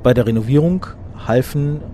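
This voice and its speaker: A man in the middle of the pitch range narrates a scripted documentary report, reading dates and facts in a measured, matter-of-fact way. The recording is noisy, with traffic sound running clearly behind the voice. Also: dark tone.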